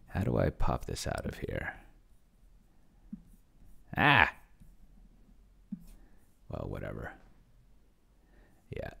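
A middle-aged man with a very low-pitched voice and a lot of creak in it. He talks off the cuff like a streamer at his desk, rambling, thinking out loud and letting phrases sink into a mumble. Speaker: host of a tabletop roleplaying experiment stream. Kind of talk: casual, unscripted livestream commentary.

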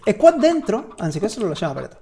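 A man's voice: sits high, huge pitch swings, quick pace, dark tone. Chatty young man, noisy home recording. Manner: chatty, repeats himself, mutters mild swear words. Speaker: gaming YouTuber. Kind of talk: casual spoken Minecraft building tutorial. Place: small room at home. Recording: clear background noise, keyboard and mouse clicks.

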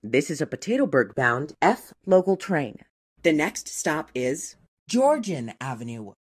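A woman reading scripted train announcements in a measured, formal, segmented way. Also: low-pitched, very animated, husky. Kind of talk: scripted transit announcement, spliced clips.

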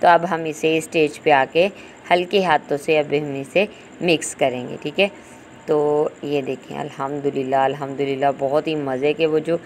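A woman giving an informal, instructional recipe voice-over as a cooking host. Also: sits low. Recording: home recording with clear background noise.

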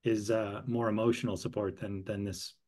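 A man's voice: fairly low pitch, moderate pace. Chatty man on a video call. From northern Saskatchewan, Canada. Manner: animated, laughs easily, repeats words. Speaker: actor, interview guest. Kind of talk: casual interview chat. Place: home room with bookshelves. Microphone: headset with desk mic, video call.

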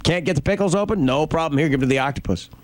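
A male radio host with a slightly high voice talks casually into a close studio microphone. He is colloquial and joking, bantering like a morning show regular. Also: very fast. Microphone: close broadcast boom mic.

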